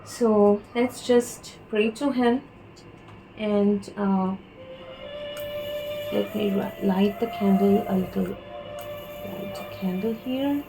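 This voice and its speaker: A woman's voice: medium pitch, slow, vocal fry, pauses often, over music, low-quality recording. A woman from India with an Indian accent, giving a channelled tarot reading and speaking hesitantly, with many fillers and restarts. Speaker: tarot reader.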